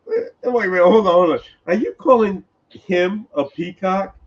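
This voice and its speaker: A man with a somewhat high voice chats on a live stream, teasing his friends and swearing freely. The sound is dull and lo-fi. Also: animated, measured pace, slightly rough. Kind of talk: casual chat on a live stream.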